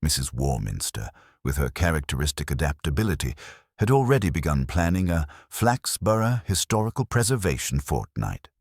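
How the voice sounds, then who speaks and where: A man reading scripted story narration in a measured, formal way, like an audiobook. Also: deep voice, no room sound, clean studio sound.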